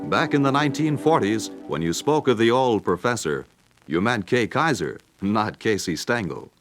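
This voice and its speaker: A man's voice: lowish voice, expressive, normal speed, soft background music. A man with an American accent reading scripted documentary narration about the past. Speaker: narrator of a radio history record.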